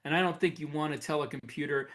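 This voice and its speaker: A man's voice: on the high side, fairly even intonation, fast, a bit bright. A man co-hosting a podcast in a casual, conversational style. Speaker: podcast host. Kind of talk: casual chat.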